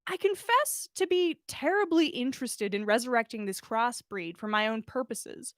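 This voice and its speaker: A man's voice: extremely high pitch, expressive, normal speed, a bit bright, no room sound, clean studio sound. A woman voicing an earnest, absent-minded male plant expert in a story, with formal, wordy, polite speech.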